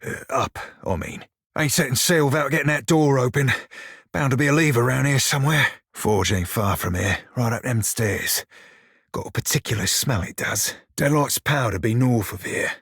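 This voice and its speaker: An actor voicing a wry, foul-mouthed pirate shiphunter in a video game, speaking a colloquial sailor's dialect with a gritty, husky voice. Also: male voice, mid-range voice, normal speed, fairly bright tone, no room sound, clean studio sound. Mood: wry, casual. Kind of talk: video game character dialogue.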